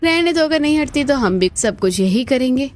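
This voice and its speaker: A woman playing put-upon, chatty daughters-in-law in a cartoon story, with colloquial, expressive delivery. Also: sits high, normal speed. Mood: sarcastic, fed up.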